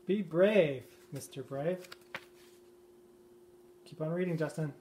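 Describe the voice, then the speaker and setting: A man's voice: fairly high pitch, slow pace, mellow. A man talking casually and encouragingly to a child, coaching from behind a handheld camera in a living room. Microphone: handheld camera mic, speaker behind camera. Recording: faint noise, clicks, off-camera home recording.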